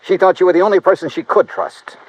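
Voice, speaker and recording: An American actor playing a tough, wry insurance investigator in a clipped 1950s radio detective style. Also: male voice, high-pitched, animated, fast talker. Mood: accusing, firm. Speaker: lead actor and first-person narrator. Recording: muffled old radio broadcast.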